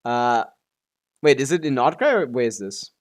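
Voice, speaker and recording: A man's voice: medium pitch, sing-song, normal speed. Man casually muttering through a game stream. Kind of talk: casual live gameplay commentary.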